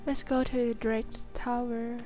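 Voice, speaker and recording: A woman with a slightly high voice gives casual gameplay commentary. She speaks slowly in short remarks to herself, with game music clearly audible behind her. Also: level tone, dark tone. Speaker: gaming video host. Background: game music and sounds.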